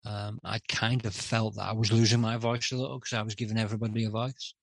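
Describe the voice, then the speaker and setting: A middle-aged man with a lowish voice talks openly as a guest on a podcast. He hesitates, fills gaps with "um" and restarts his sentences. The recording is dry and close. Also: flat delivery, fast talker, creaky, no echo. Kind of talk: candid interview talk.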